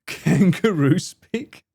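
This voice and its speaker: A man's voice: sits high, vocal fry, a little mellow. A man streaming live, chatting casually with viewers in a joking, theatrical way and swearing freely. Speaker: streamer and cameraman.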